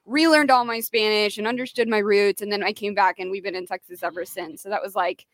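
A woman's voice: mid-range voice, steady tone, brisk, no echo. A woman from Mexico, a rock artist chatting as an interview guest from her home studio, talking loosely with lots of "like" and frequent laughs. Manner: chatty, many "like" fillers, laughs often. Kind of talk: casual interview chat.

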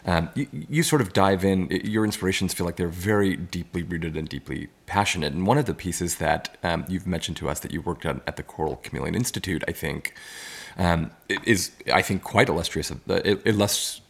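A man's voice: low voice, fairly quick, creaky, husky. A man co-hosting a podcast, asking earnest questions and poking fun at his own pronunciation. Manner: earnest, self-deprecating, stumbles over words. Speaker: podcast co-host. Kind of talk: podcast interview.

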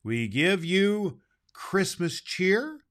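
A man's voice: high-pitched, big pitch swings, extremely slow, fairly bright tone, exaggerated voice. A man playing a jolly, boastful old Santa Claus, hosting a children's holiday show in an emphatic, conversational way and repeating words for effect. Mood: jolly, booming.

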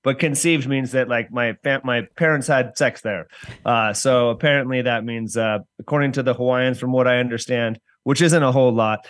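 A man from the San Francisco Bay Area talking casually as a podcast guest, in halting, rambling explanations. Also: medium pitch, fairly even intonation, dry recording. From San Francisco Bay Area, United States. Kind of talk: casual interview talk.

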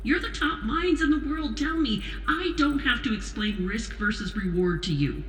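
A woman playing a stern, commanding president in an audio drama, speaking in a measured, authoritative way. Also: fairly high pitch, animated, fairly bright tone. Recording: roomy echo, faint noise.

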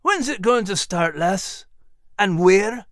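A gruff, growling Scottish dog, loyal and homespun, as a man puts him on. He rolls through a lively, swinging voice pitched well above an everyday man's, heard close and dry. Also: takes it slowly, dry recording, exaggerated voice. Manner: growling, folksy.

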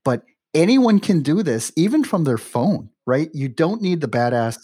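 A man's voice: a little high, big pitch swings, moderate pace, mellow, slightly rough, no room sound, studio quality. A man in his forties hosting an interview podcast, talking in a chatty, conversational way and leaning on "you know" and "right.". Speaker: podcast host.

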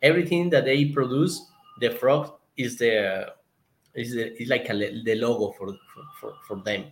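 A man's voice: medium pitch, moderate pace. A man from Colombia with a Colombian Spanish accent, answering as a guest in an interview, often stopping and restarting as he searches for words. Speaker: guest, conservation project member.

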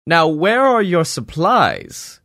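Guy putting on a smug bragging wizard dad, voice pushed up a bit higher, dry close sound. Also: wildly swooping pitch, slow, fairly bright tone, no room sound, heavily acted. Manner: grandiose, laughing, dismissive. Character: pompous boastful male wizard, self-important father.

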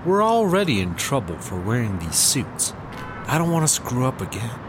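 Anxious new employee, lively intonation. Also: male voice, medium pitch, moderate pace, music in the background. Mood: worried. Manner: hesitant, self-correcting. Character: anxious, dutiful male new employee, hesitant rule-follower. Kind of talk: comedy audio drama acting. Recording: faint background ambience noise.

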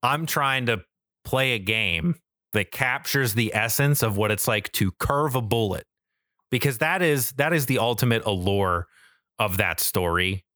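A man's voice: medium pitch, unhurried, bright, forceful tone, dry recording, clean studio sound. A man co-hosting a podcast in casual, enthusiastic chat, swearing freely and often checking in with "right?". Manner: enthusiastic, profane, peppered with "like" and "right?". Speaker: podcast co-host. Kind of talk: casual podcast chat about games and films.

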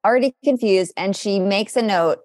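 A woman's voice: mid-range voice, moderate pace, a little mellow, dry recording. A woman co-hosting a podcast, chatting casually and reading aloud with some drama, with dry, playful commentary. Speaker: podcast co-host.

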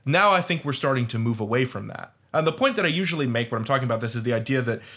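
A man with a bright, forceful voice at a middle pitch takes part in a roundtable discussion. He talks articulately and leans on academic vocabulary. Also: lively intonation, racing. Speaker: roundtable panelist. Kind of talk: discussion of audio fiction criticism.

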